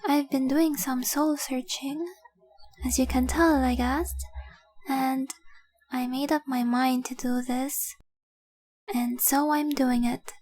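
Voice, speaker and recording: A woman playing a devoted young dragon-blooded fiancée in a romantic audio roleplay, speaking softly, earnestly and adoringly. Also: high-pitched, fairly even intonation, takes it slowly, pauses often, no echo, rough recording. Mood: soft, confiding. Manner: soft, earnest, adoring, often dropping to breathy whispers.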